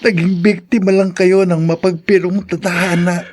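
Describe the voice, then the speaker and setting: An actor playing a sentimental young son in a Filipino radio drama, with drawn-out, emotional delivery. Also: male voice, sits high, little pitch movement. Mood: tearful, loving. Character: sentimental young son longing for his father.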